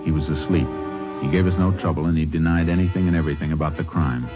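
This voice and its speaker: Deep-voiced, terse Texas Ranger. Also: male voice, fast talker, music in the background. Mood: matter-of-fact narration. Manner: clipped, terse, no-nonsense questioning. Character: stern, methodical Texas Ranger interrogating and narrating his case. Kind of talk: 1950s radio drama acting. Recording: noisy, muffled old-time radio recording.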